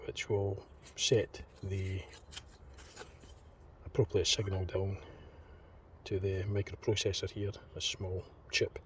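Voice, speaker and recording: A man thinking aloud in an unscripted hands-on demonstration, speaking in a hesitant, tentative, halting way. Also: low-pitched, level tone. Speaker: hobbyist demonstrator in a homemade teardown video. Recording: distant mic, clearly noisy homemade recording.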